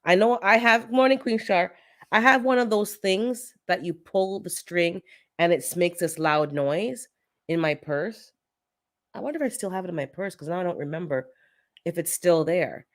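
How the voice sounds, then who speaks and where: A woman's voice: on the low side, moderate pace. Stuffy-nosed chatty woman livestreaming. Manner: chatty storyteller, laughs often, greets chat by name. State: stuffy, congested nose. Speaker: gardening channel host. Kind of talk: casual livestream chat with viewers.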